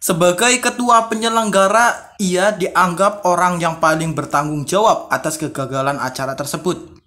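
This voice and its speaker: A man's voice: sits high, moderate pace, pushed and bright. A man hosting a casual vlog, reading stories aloud and adding informal commentary in his own words. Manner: informal, reads aloud then paraphrases and reacts. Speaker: video creator, host.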